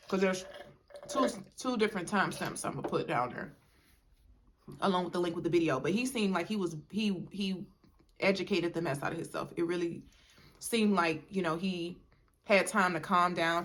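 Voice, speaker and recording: A woman's voice: fairly low pitch, fairly bright tone. A young woman talks casually to her viewers. She rambles a little, fills gaps with "like" and "you know", and keeps calling the audience y'all. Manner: chatty, addresses viewers as y'all, many fillers. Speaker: mukbang vlogger. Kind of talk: casual storytime chat.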